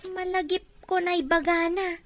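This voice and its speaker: A woman acting an excitable, emotional village woman in an audio drama. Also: extremely high pitch, moderate pace. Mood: chatty, puzzled.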